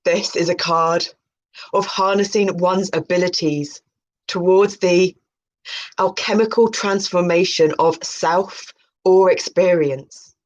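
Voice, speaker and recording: A woman's voice: fairly low pitch, fairly even intonation, slow pace. A woman hosting a video, reading aloud about tarot with playful, chatty spiritual asides. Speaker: video host. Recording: muffled, dull lo-fi sound.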